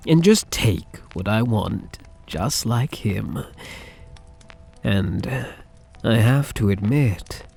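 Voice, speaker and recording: A man playing a soft, lovesick young mafia heir in an ASMR roleplay, speaking in a hesitant, tender, halting way. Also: on the low side, lively intonation, vocal fry, husky, soft background music, exaggerated voice. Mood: tender, nervous confession.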